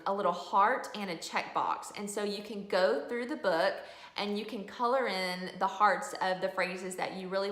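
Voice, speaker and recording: A woman's voice: fairly low pitch, a bit bright. A middle-aged woman giving a casual, explanatory video review as a homeschooling mom. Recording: roomy indoor echo.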